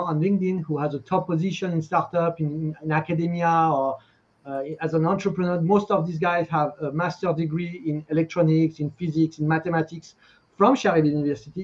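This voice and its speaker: A man hosting a live online interview, speaking haltingly and effusively, often repeating words. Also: on the high side, a little mellow. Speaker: interview host. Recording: dull, lo-fi call audio.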